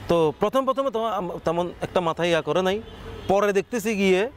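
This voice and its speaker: A man's voice: high-pitched, fairly quick, slight background noise. A young man with a Chattogram accent, a comedy content creator giving casual interview answers. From Chattogram, Bangladesh.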